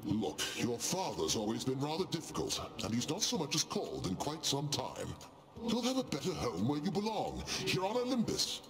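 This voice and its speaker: An actor playing a grand, genial older patriarch god in a video game, with a booming, stately, avuncular manner. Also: male voice, wildly swooping pitch, normal speed. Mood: grand, benevolent. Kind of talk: video game character acting.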